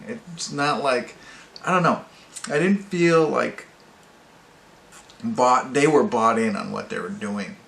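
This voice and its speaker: A man's voice: mid-range voice, takes it slowly, vocal fry, long pauses, fairly bright tone. A man giving a casual, off-the-cuff review as host, rambling and hesitant as he searches for words. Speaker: amateur movie reviewer and host. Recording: roomy echo, faint background noise.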